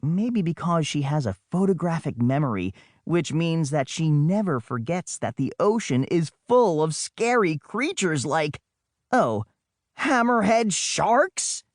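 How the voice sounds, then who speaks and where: A man's voice: a little high, sing-song, unhurried, no echo, clean studio sound. A man reading a children's adventure audiobook in the first person as a boy storyteller, with dramatic delivery and wry conversational asides. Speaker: audiobook narrator.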